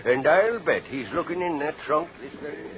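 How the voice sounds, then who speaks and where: An actor playing a jovial, knowing sea captain with a salty nautical dialect, in old-time radio drama style. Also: male voice, a little high, animated, normal speed, natural voice. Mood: amused, knowing. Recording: muffled old radio, loud background din.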